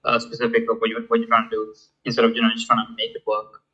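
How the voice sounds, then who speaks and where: A young man in his twenties from Malaysia, a guest in a casual interview, talking in a halting way with many fillers and restarts. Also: mid-range voice, completely flat delivery, sharp tone, highs cut off. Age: 20s. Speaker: guest, student founder.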